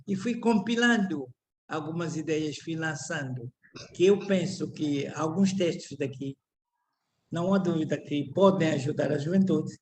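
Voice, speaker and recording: Older angolan guy giving a talk, bit high voice, stops and restarts a lot, faint hiss behind. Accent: Angolan Portuguese. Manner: halting, many restarts and repeated words. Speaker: writer giving a lecture. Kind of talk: reflective lecture.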